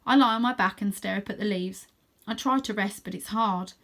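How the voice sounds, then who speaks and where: A woman's voice: medium pitch, brisk, fairly bright tone. Woman reading a children's story aloud. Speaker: reader of children's stories. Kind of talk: expressive storybook read-aloud with chatty asides.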